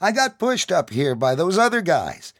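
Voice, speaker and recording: Brief: man doing a scrawny teen recruit, shaky and high, cornered and scared. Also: big pitch swings, moderate pace, a bit bright, no room sound, studio quality, full character voice. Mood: pleading. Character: scared skinny teenage boy soldier.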